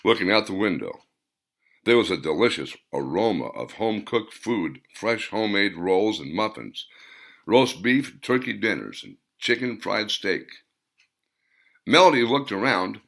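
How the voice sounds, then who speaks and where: A man reading his own story aloud in a plain, unhurried narrating manner. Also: fairly low pitch, sing-song, creaky, a bit bright.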